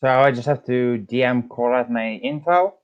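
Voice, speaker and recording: A man's voice: mid-range voice, normal speed, clean tone. A man speaking casually and a little hesitantly as a viewer joining a stream over voice chat. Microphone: online voice call. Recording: dull voice-chat audio.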